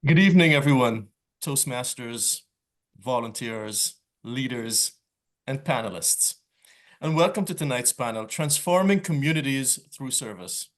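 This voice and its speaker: Man, mid pitch, panel moderator, prepared remarks, brisk at times. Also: lively intonation. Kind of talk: scripted opening remarks.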